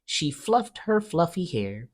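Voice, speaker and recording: A woman reading a children's story aloud with expressive narration. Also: lowish voice, takes it slowly, breathy edge. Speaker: storybook reader.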